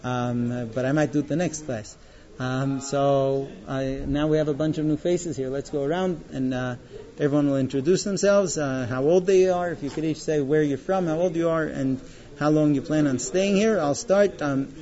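A thirtyish male teacher with a soft, mellow voice, pausing often as he addresses his students in class, caught on a dull recording. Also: on the high side. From born in Israel, later moved to the US. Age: 30s. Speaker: yeshiva teacher. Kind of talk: informal classroom talk. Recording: dull, lo-fi sound.

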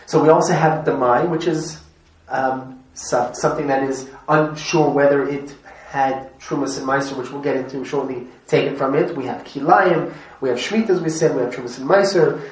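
A man's voice: mid-range voice, fairly even intonation, moderate pace, few pauses. Chatty man teaching in an echoey room. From Montreal, Canada. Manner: chatty, asks the group questions, laughs often. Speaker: rabbi teaching a class. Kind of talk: informal classroom lesson. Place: classroom. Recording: echoey room, mic at a distance, faint noise.

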